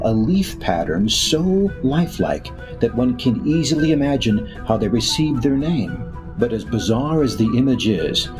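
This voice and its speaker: A man narrating a scripted radio drama as announcer, in a measured way with dramatic pauses. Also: mid-range voice. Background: music.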